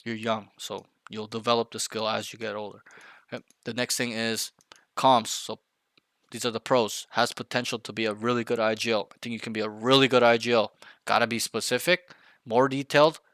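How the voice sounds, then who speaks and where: A man's voice: medium pitch, level tone, a little fast, a bit bright, dry recording, clean studio sound. A man coaching a younger player, blunt and emphatic, repeating key points to drive them home. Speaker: game coach. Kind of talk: coaching session, direct feedback.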